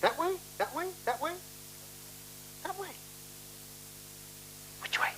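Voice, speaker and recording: A woman's voice: high voice, lively intonation, slow pace, fairly bright tone, soft background music, full character voice, low-quality recording. Prim, teasing, lilting lady. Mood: coaxing. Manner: genteel, coaxing, patronizing, playing with words. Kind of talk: 1970s radio play acting. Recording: faintly hissy 1976 radio broadcast.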